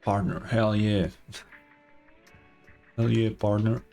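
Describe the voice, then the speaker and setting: A man's voice: lowish voice, level tone, slow pace, creaky, dark tone, soft background music. A young man doing casual, offhand game commentary with some swearing, also reading game text aloud. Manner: offhand, profane. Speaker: game streamer.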